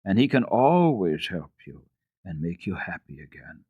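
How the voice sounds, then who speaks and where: Kind old storybook grandmother, played by a male reader: low, husky, patient, gently coaxing. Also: sing-song, normal speed, no echo, phone-quality sound, full character voice. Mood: reassuring.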